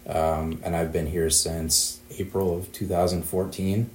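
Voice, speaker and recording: A man in his thirties from southern Wisconsin, a fish biologist answering interview questions in a relaxed way with some repeated words. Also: low-pitched, a little slow, reverberant, a little hiss or hum.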